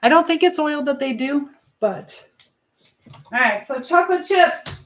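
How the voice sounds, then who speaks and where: A woman's voice: high-pitched, lively intonation, a little slow. A woman chatting casually on a livestream as she bakes in her home kitchen, rambling and thinking aloud. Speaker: livestream host. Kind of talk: casual live-stream chat while baking. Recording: noticeable background noise, clicks.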